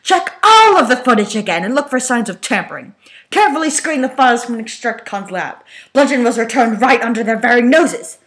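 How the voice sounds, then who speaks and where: Woman acting a furious, loud robot warlord. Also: a little high, animated, fairly quick, voice pushed up. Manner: forceful, scolding, demanding. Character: raging male robot tyrant commander, domineering, snarling.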